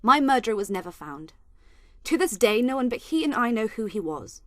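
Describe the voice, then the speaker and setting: A woman's voice: on the high side, sing-song, brisk, fairly bright tone, no echo, clean studio sound. A woman playing a general's devoted murdered wife in a radio play, tender, mournful and dramatic.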